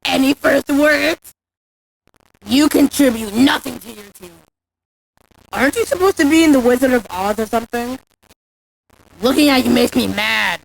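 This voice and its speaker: A woman voicing a boastful, menacing killer monster for video game voice lines, mocking the prey with a gravelly edge. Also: high-pitched, expressive, normal speed, long pauses, rough recording. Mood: mocking contempt. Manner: mocking, menacing, shouted taunts. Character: taunting, boastful, bloodthirsty speed-obsessed killer monster.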